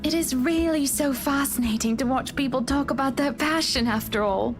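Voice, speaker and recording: A high-voiced young lady of means speaks politely with a curious, gently teasing manner. It is visual novel game acting, with music playing behind her. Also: normal speed. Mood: gentle, admiring.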